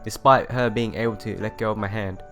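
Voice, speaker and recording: A man with a fairly low voice reads a visual novel's narration aloud for a let's play. He tells it as a story, now and then tripping on the text, over background music. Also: steady tone, a little fast, a bit bright. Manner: stumbles over text and rereads phrases. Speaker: let's player.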